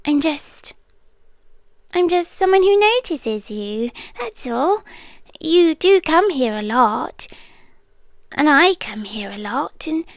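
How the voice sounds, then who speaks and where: A British woman voicing a young, obsessive yandere girl in an intimate ASMR roleplay, sweetly unsettling and lovestruck, speaking softly and hesitantly and drifting into whispers. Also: sits high, very animated, slow, long pauses, no room sound, studio quality, exaggerated voice. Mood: nervous, coaxing. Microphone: close microphone, dry.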